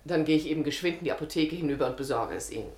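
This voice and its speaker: An actress playing a helpful, gently firm village woman in a 1950s radio play, composed and solicitous in her speech. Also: deep voice, very fast, natural voice. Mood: helpful, brisk. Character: helpful, brisk, caring village woman, trained in nursing. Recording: roomy 1950s radio recording, faint hiss.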